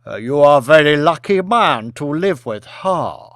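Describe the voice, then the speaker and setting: A man voicing a polite, effusive Chinese premier in an audiobook, speaking with halting, stilted courtesy. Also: fairly high pitch, animated, fairly bright tone, no room sound, studio quality. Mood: admiring. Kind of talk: audiobook character acting.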